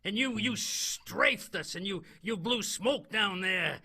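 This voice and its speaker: Male actor as chummy 1920s veteran investigator, high-pitched, very sharp forceful tone, earnest, radio-play delivery. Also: slow, a little hiss or hum, heavily acted. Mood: awed, grateful. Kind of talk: improvised 1920s radio soap opera acting.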